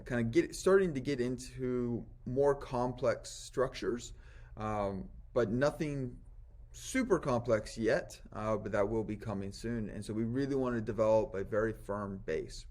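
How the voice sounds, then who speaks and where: A man's voice: medium pitch, darkish tone. An American man, a Latin teacher, giving an informal introduction to his course.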